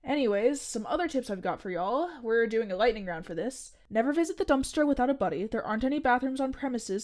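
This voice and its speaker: Brief: fairly high young woman, actress as an unfazed oddball janitor, deadpan-breezy. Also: lightly acted. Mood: teasing. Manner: breezy, matter-of-fact, teasing. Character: odd, cheerfully nerdy young woman coworker, unbothered by ghosts. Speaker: restaurant janitor. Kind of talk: comedic audio drama acting.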